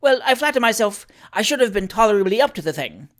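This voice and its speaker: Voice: medium pitch, sing-song, fast, a bit bright, pitched above the performer's own voice. A reader voicing a jovial, rich old uncle in a storybook, declaiming and correcting with great emphasis. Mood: eager, obliging.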